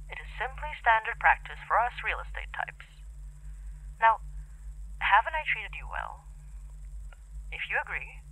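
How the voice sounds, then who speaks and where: A man playing a falsely reassuring, patronizing real estate agent leaving a measured message on an answering machine in a horror drama. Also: high-pitched, pushed and bright, husky, exaggerated voice, rough recording. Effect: telephone answering machine filter.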